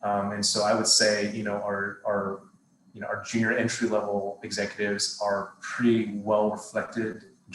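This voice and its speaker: A man's voice: fairly low pitch, fairly bright tone, a little hiss or hum. A middle-aged man, a TV studio executive, answering interview questions thoughtfully, with many fillers and restarts. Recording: roomy echo.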